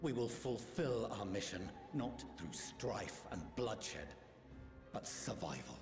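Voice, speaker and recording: A man's voice: fairly low pitch, big pitch swings, takes it slowly, full character voice. A man playing a proud, unyielding councilman in a video game, speaking in a solemn, declamatory manner. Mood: resolute. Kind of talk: scripted video game acting. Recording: echoey hall reverb, music behind.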